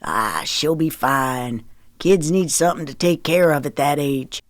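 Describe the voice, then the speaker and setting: A woman's voice: deep voice, measured pace, dry recording. A woman narrator plays an older father in an audiobook. He is stubborn and matter-of-fact, with dry humor and a contrary streak. The recording is close, with little room sound. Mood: shrugging.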